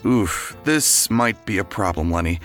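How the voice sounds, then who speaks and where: A man's voice: low voice, lively intonation, unhurried, fairly bright tone, soft background music. A man playing an affable, slightly fussy and flustered husband hosting guests in an audio drama. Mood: polite, flustered.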